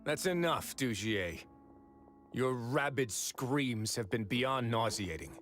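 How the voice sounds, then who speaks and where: A man playing a composed, authoritative prison warden in a video game, measured, formal and philosophical. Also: mid-range voice, animated, a bit bright, faint music behind. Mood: cold, contemptuous.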